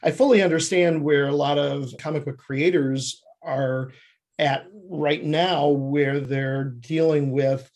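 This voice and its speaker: A man with a fairly high, husky voice talks as a guest on an interview show. He tells his stories conversationally, often slipping into quoted dialogue to make a point. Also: steady tone, takes it slowly, darkish tone. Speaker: podcast guest, screenwriter.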